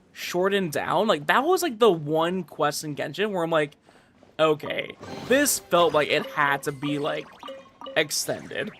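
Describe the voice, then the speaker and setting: A man's voice: fairly high pitch, a bit bright, a little hiss or hum. A man chatting casually as a game streamer, informal and rambling as he talks to his viewers. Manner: informal, rambling, filler-heavy. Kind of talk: casual livestream chat.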